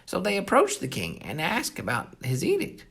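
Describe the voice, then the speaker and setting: This is a children's storyteller reading scripture aloud with great expression. The voice swings widely up and down and has a husky, crackly texture. The delivery is animated and geared to young listeners. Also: medium pitch, normal speed. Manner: animated, explains hard words simply. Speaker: Bible story narrator for a children's program.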